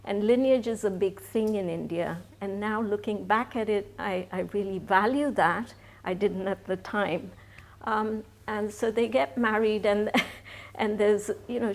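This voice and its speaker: A woman's voice: medium pitch, unhurried. A woman in her sixties, a guest in a live stage interview, telling her life story in a chatty way with frequent restarts and laughter. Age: 60s.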